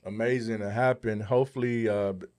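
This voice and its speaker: Male podcast co-host, slightly low voice, casual commentary, thinks aloud with halting pauses. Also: steady tone, darkish tone. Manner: halting, pauses mid-thought.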